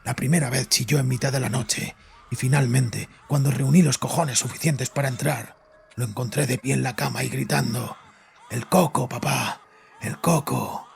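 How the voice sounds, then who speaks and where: An actor playing a guilt-ridden, frightened father confessing to his psychiatrist in a horror audio drama, agitated and halting. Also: mid-range voice, gravelly, a little hiss or hum. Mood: haunted fear. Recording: voice over background music.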